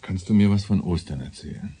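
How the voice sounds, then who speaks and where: An actor playing a middle-aged police detective in a radio drama, speaking quietly with restrained, laconic gentleness. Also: male voice, low-pitched, fairly quick, husky. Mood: gently probing. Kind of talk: naturalistic German radio drama acting.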